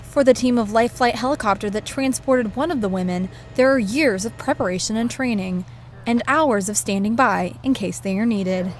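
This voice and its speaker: A woman reporting scripted television news. Her voice sits on the high side, and she delivers each line in a measured, emphatic reporter style.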